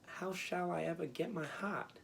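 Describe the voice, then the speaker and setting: A man voicing an indignant tin woodman from a storybook, loud and complaining. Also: high voice, a little slow, creaky, slight background noise, voice pushed up.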